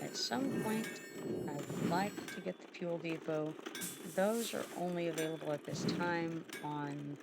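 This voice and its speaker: A streamer giving relaxed, halting commentary over gameplay, stuffy and sniffling from allergies, with lots of fillers and restarts. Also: mid-range voice, heavy background noise. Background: loud background music.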